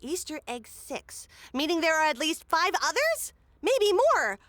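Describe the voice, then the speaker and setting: A woman's voice: very high-pitched, big pitch swings, unhurried, fairly bright tone, no room sound, studio quality, exaggerated voice. A woman playing a scheming, self-serving mayor in a comedic audio drama, theatrical and dismissive. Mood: gleefully scheming.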